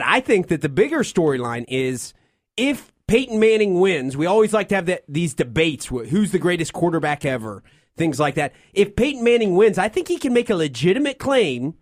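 A man's voice: sits high, moderate pace, no echo. Kentucky-raised radio host man, dry studio sound. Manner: relaxed, joking, reads sponsor lines. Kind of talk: sports talk radio banter.

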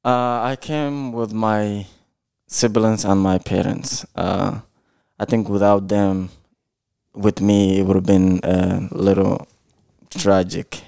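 Man in his twenties from Somalia, low mellow voice with some fry; hesitant, slow guest. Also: fairly even intonation, dry recording, clean studio sound. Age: 20s. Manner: hesitant, short answers with many uhs. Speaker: podcast guest. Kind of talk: casual interview answers.

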